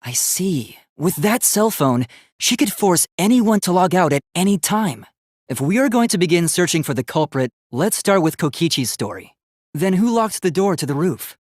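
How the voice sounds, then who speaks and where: A man's voice: high-pitched, sing-song, moderate pace, no echo, clean studio sound. A man voicing an earnest, polite young robot student in a video game, with formal, precise, logical speech that gets easily flustered. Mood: calm, pondering.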